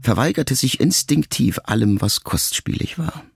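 A man reading a literary memoir aloud as an audiobook, in a calm, measured first-person voice. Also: mid-range voice, creaky, breathy edge, no echo. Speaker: audiobook narrator.

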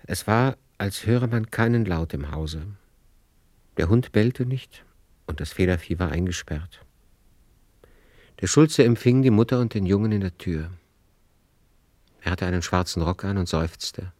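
A man narrating a literary radio drama in a measured, grave way of storytelling. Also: low-pitched, a little mellow, no room sound.

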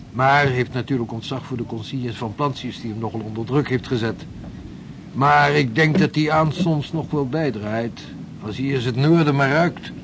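Radio play: reflective senior seaman male actor, calm, medium register, loud background noise. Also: mid-range voice, natural voice. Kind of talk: radio drama acting.

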